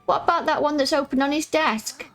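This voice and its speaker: A woman's voice: high voice, fairly quick, fairly bright tone, faint music behind, voice pushed up. A woman reading as a brash, cheeky kid. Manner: blunt, slangy. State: croaky voice. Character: brash, cheeky young girl who loves racing games.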